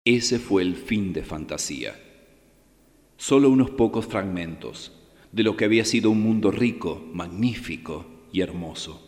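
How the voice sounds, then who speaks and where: A man's voice: deep voice, animated, unhurried, darkish tone. A man playing a solemn storyteller, narrating a fantasy radio drama in a dramatic scripted style. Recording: long reverb with music behind.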